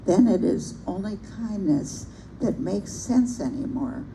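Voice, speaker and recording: An older woman with a soft, gentle voice, reading a favorite poem aloud at a bookstore event and talking about it reflectively. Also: medium pitch, lively intonation, unhurried. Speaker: poetry reader at a public reading. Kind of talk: reciting a poem with personal remarks. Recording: noisy live event recording.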